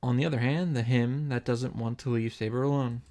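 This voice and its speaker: A man's voice: mid-range voice, fairly even intonation, fairly quick, darkish tone. A man hosting a story recap, reading the narration dramatically and adding wry commentary of his own. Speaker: host of a visual novel reaction and summary series.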